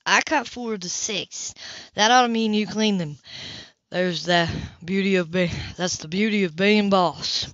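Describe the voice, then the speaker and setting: A woman's voice: medium pitch, measured pace, a bit bright, dry recording, close to an everyday voice. A woman reading an audiobook as a prickly, cheeky, eager schoolboy. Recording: dull lo-fi home recording.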